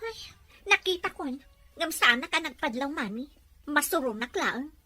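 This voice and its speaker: A woman's voice: high voice, lively intonation, normal speed, bright, forceful tone. A woman playing a sulky, whiny young daughter in a radio serial. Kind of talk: Philippine radio serial acting.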